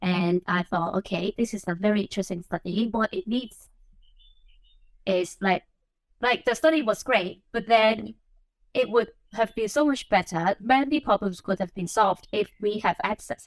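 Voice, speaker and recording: A woman from Hong Kong talks as a podcast guest, thinking aloud and filling pauses with "like" and "um." Her recording is dry and close. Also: medium pitch, no echo, rough recording. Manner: reflective, frequent "like" and "um" fillers. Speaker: assistant professor, podcast guest. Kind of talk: conversational interview answers.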